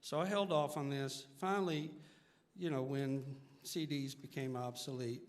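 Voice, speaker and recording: A man's voice: mid-range voice, steady tone, unhurried. A middle-aged or older man, a singer-songwriter, chatting casually as an interview guest. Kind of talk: casual live interview chat. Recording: live hall reverb, faint music.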